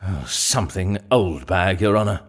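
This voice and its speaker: A man's voice: low voice, expressive, moderate pace, breathy edge. A man playing a curt, haughty and restrained prosecutor in a courtroom game. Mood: reluctant, formal. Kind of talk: dubbed courtroom game acting.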